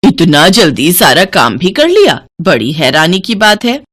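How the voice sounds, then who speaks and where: A woman's voice: lowish voice, sing-song, a little slow, a bit bright, husky, exaggerated voice. A woman voicing a suspicious, scolding older mother-in-law from a village in a moral story cartoon. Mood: suspicious, disapproving. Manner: scolding, blunt.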